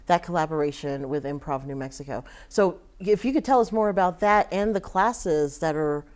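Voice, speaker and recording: A woman's voice: low-pitched, moderate pace, a little mellow. A woman speaking as a television interviewer, polite and affirming with the guest. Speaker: TV interviewer and host. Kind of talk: broadcast interview.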